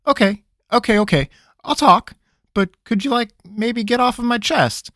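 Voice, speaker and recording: A man voicing a nervous, rambling young farm-boy mage with a high, twangy, folksy voice. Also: normal speed, a bit bright, dry recording, clean studio sound. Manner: jittery, wheedling, folksy.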